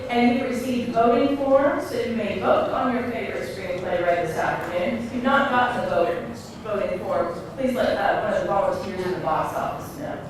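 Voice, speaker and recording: A woman's voice: medium pitch, normal speed, few pauses. A woman hosting a live event, making announcements to those attending. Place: indoor venue, live event. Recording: very echoey room, distant mic, faint noise.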